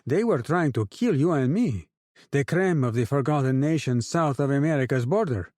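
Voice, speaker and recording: A young, oily drug lord with a Spanish accent addresses his men like an orator, as a male narrator puts him on. The voice sits raised and swoops widely, with a mellow tone. The recording is close and dry. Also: mid-range voice, expressive, moderate pace, no room sound, pitched above the performer's own voice, lightly acted. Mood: rousing. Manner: oratorical, falsely friendly.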